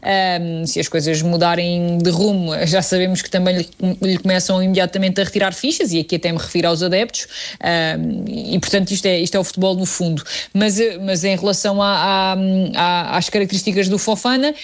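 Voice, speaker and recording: A woman from southern Portugal with a European Portuguese accent, a panelist giving a measured football analysis with many pauses to find her words. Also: on the low side, steady tone. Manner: measured, hesitant, many fillers and restarts while building a point. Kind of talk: football podcast discussion.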